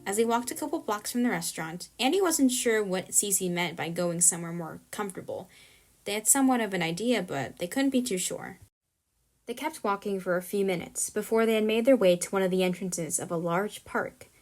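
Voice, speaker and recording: A woman's voice: medium pitch, animated, a little fast. A woman reading scripted narration for an audio drama short story. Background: music.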